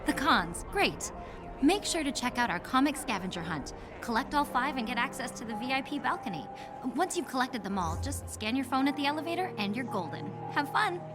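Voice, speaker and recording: A woman's voice: sits high, very animated, brisk, over music. A woman playing a chirpy, welcoming young event greeter in a video game. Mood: cheerful.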